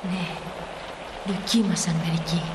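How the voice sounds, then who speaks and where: A woman's voice: lowish voice, steady tone, lightly acted. An actress playing a sober dead townswoman in a stage drama, admonishing in a reasonable manner. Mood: cautioning. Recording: noisy, echoing drama recording.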